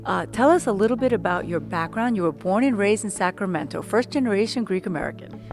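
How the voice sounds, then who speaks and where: A woman's voice: medium pitch, expressive, fast, a little mellow, faint music behind. A woman hosting a formal TV interview, asking questions in a deferential, courteous way. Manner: formal, deferential, with a few restarts. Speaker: TV interviewer, host.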